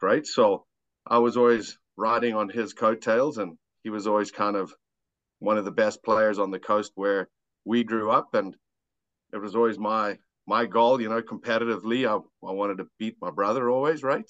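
A man's voice: fairly low pitch, a bit bright, dry recording. A South African-accented man telling personal stories casually as a podcast guest, laid-back and self-deprecating. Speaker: podcast guest, amateur golfer. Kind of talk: casual chat, personal storytelling. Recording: dull lo-fi dry audio.

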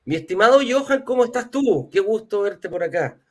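A man's voice: sits high, lively intonation, a little fast. A man with a Chilean accent hosting a live class and interview in an informal, chatty way. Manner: chatty, informal, uses affectionate diminutives. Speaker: host of a live real estate investment class.

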